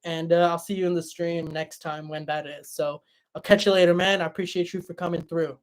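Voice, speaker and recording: A young man hosting a livestream and answering chat in a relaxed, chatty way, his voice tired after hours of talking. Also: fairly high pitch, fairly quick. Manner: relaxed, chatty, many fillers and restarts. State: voice tired after a long stream. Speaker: livestream host reading chat.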